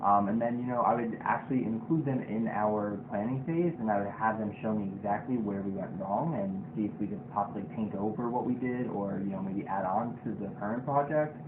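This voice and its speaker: Young male candidate, talkative, fast, tinny and roomy recording. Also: lowish voice. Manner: talkative, uses "you know" often. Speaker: job candidate in a role-play. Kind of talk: casual role-play. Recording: tinny, noisy, echoey.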